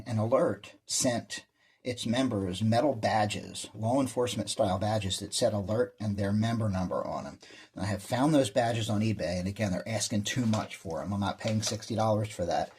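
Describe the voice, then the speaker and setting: A man's voice: on the low side, moderate pace, a little mellow, slightly rough. A man presenting a hobby vlog in casual, rambling show-and-tell talk, with an enthusiastic manner. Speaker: amateur radio hobbyist presenter.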